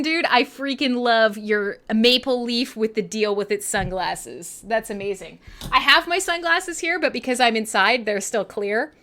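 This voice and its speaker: A Canadian woman hosting a live radio show with casual, irreverent chat, animated and slangy with some swearing. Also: a little high.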